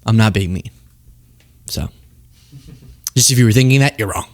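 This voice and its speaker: A man hosting a political show, talking off the cuff in an animated, sarcastic and self-mocking way. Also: lowish voice, moderate pace. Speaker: political commentary show host. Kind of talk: casual off-the-cuff political commentary.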